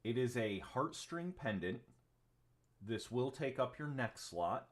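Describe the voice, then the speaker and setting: A man's voice: mid-range voice, expressive, slow. A man running a tabletop game as game master, rambling with many ums and casual swearing. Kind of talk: narrating a tabletop game on a livestream.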